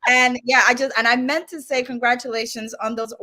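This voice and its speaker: A woman from Barbados hosting a virtual interview on a video call, affirming her guest warmly and asking about her work. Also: on the high side, little pitch movement, a little fast. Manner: warm encouraging backchannels and enthusiastic praise. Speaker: interviewer, host of a speaker series. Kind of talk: conversational interview. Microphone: video call mic.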